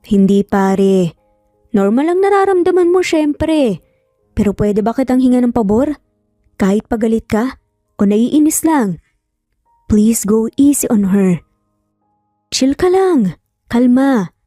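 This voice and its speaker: A woman voicing a calm, protective young man, a loyal friend who talks gently and in measured phrases in an audio story. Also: a little high, no echo, studio quality, soft background music. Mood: soothing.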